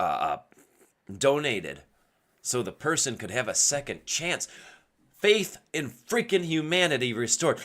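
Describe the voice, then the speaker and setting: Animated man with a strained, bright voice. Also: mid-range voice. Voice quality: strained voice from yelling. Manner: emphatic, asking and answering own rhetorical questions. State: losing his voice. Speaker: podcast host. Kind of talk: opinionated casual solo podcast monologue.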